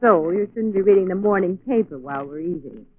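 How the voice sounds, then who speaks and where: A woman's voice: on the low side, brisk. A woman playing a spirited, teasing lady companion to a detective in 1940s radio drama style. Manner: bright-witted, expressive. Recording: thin, muffled 1940s radio recording.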